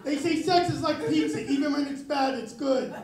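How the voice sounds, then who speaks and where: A young man doing a self-mocking stand-up set with a very raspy, high voice, on a club stage. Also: normal speed, very noisy. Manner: self-mocking deadpan delivery. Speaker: stand-up comedian. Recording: echoey club with crowd. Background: audience laughter.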